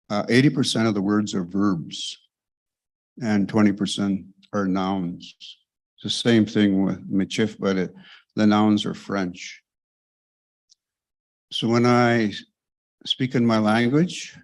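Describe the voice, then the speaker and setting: Slow, low-voiced older man. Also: a little mellow. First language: Cree. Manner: slow, measured, pausing often. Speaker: elder giving an opening prayer. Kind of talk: slow spoken prayer and reflection. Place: large lecture hall stage. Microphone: podium microphone.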